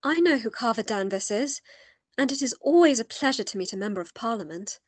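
A proper young Victorian lady with a fairly high, husky voice. She is polite yet wry and firm with suitors, recorded dry in radio drama style. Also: expressive, brisk, dry recording. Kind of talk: radio play acting.

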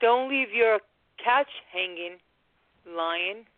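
Slow-paced woman acting a patient wife. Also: medium pitch, dry recording, natural voice. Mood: gently firm. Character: patient, gently firm middle-aged wife. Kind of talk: radio drama acting. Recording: muffled phone-like audio.

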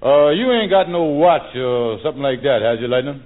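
An actor playing a scheming, smooth-talking lodge leader in a folksy, wheedling, mock-solicitous manner, in 1940s radio comedy style. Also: male voice, medium pitch, expressive, a little fast, close to an everyday voice. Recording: narrow, tinny vintage radio recording.

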